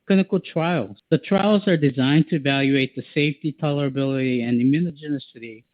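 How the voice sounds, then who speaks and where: A man reading a formal, scripted corporate statement as an executive on an earnings call, in a measured way over a phone line. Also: mid-range voice, dry recording. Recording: tinny phone-like conference call.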